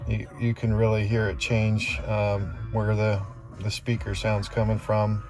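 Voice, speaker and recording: A man's voice: on the low side, a little slow. A man casually demonstrating a car stereo from inside the car, with lots of ums and uhs. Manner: relaxed, hesitant with many fillers. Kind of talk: casual product demo. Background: music from the car stereo.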